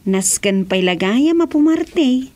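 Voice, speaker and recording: Actress as high-voiced young village wife. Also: female voice, expressive, takes it slowly, darkish tone. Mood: surprised. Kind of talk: Ilocano radio drama acting, conversational.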